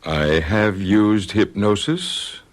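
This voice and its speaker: An actor playing a calm doctor in a radio drama, measured and questioning. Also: male voice, lowish voice. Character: calm male doctor, a psychiatrist. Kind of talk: 1970s radio drama acting.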